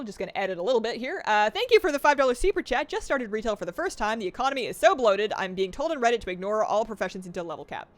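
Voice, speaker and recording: A young woman streaming a game live, chatting excitedly and reading her chat aloud. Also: medium pitch.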